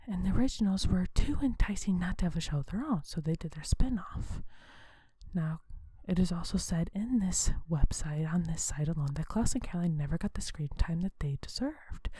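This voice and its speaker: A woman hosting an ASMR video in a soft, breathy whisper, talking in a hushed, unhurried, conversational way. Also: fairly low pitch, no echo.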